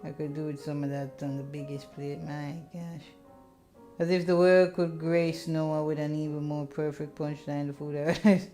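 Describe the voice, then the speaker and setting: A woman with a low voice narrates a visual novel for a playthrough. She moves between plain story reading and playful, slangy remarks, with music playing underneath. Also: completely flat delivery, moderate pace, dark tone. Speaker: let's player reading a visual novel aloud. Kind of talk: casual narration and commentary on a game.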